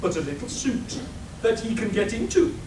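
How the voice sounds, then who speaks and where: A woman's voice: on the high side, very animated, normal speed. A woman playing a vain, exasperated clockwork machine tailor in a live radio play, pompous, melodramatic and self-pitying. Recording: echoey hall, distant mic, faint noise.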